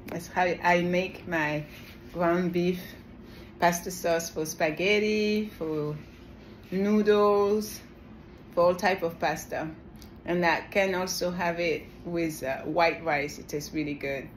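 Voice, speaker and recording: A woman's voice: on the low side, fairly even intonation, slow pace, poor audio. A middle-aged woman from Senegal with a French West African accent, giving an encouraging, casual cooking demonstration in her home kitchen. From Senegal, grew up in the south of France. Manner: encouraging, addresses viewers affectionately. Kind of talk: casual instructional cooking vlog. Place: small home kitchen, indoors. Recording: loud sizzling and stirring kitchen noise. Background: frying, sizzling, stirring.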